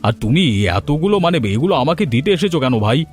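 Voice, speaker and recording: A man voicing a wise, modest middle-aged village benefactor in a cartoon, speaking gently and reasoning things through. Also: on the high side, expressive, fast talker, lightly acted. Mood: surprised. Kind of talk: cartoon voice acting.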